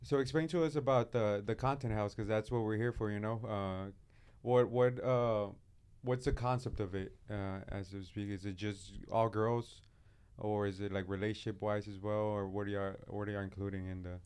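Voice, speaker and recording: A man's voice: fairly low pitch, steady tone, moderate pace, a little mellow, smooth. A man hosting a casual podcast interview, asking questions in a loose, slangy way.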